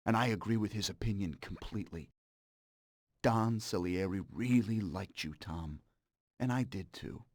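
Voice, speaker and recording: A man's voice: on the low side, normal speed, a little mellow, dry recording, studio quality, close to an everyday voice. An actor playing a smug, cold-blooded mobster in a game cutscene, mocking and sardonic as he menaces an old partner. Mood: coldly sardonic. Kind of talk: dramatic video game cutscene acting.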